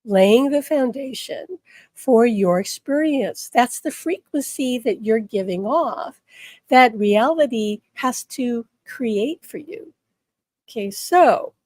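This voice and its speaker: A slightly hoarse woman giving an earnest spiritual talk, often checking in with the listener. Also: a little high, a little mellow, no echo. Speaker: spiritual teacher.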